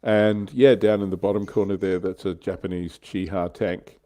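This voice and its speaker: Low, mellow-voiced man chatting casually. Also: level tone, normal speed. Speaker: livestream co-host. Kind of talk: casual hobby chat about tabletop wargames.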